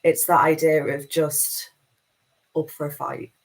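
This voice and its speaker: A woman's voice: deep voice, level tone, unhurried, vocal fry. A woman with a Manchester accent giving a meandering, self-deprecating spiritual talk as host of a live session. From Manchester, England.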